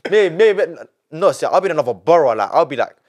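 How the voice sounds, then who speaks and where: A nineteen-year-old man, a podcast guest telling his story in an animated way, full of repetition and street slang. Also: a little high, quick pace. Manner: animated, repeats himself, heavy street slang. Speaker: young entrepreneur guest.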